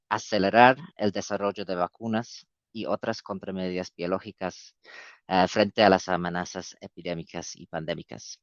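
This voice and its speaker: A man's voice: deep voice, no room sound. A man presenting in a webinar in a language that is not his first, speaking hesitantly with many fillers and repeated words. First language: not Spanish. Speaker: guest speaker for an epidemic preparedness coalition. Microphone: video call. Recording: dull, dry video-call audio.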